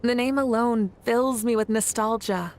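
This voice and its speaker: A woman's voice: on the high side, a little slow, darkish tone, close to an everyday voice. A woman playing a confident, kindly warrior ruler in a video game, speaking in an assured, composed, encouraging way. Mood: calm, reassuring. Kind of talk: scripted video game voice acting.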